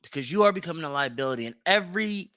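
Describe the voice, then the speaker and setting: Bright, forceful man giving blunt commentary. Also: fairly high pitch, lively intonation, very fast, no echo, studio quality. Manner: blunt, heavy swearing; emphatic, repeats phrases for stress. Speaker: podcast host. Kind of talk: opinionated solo commentary on celebrity news.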